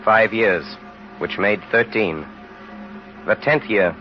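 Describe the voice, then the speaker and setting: An actor as the grave narrator of a tragic tale, solemn and measured in a dramatic, rhetorical radio style. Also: male voice, lowish voice, over music. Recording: noisy, dull old radio recording.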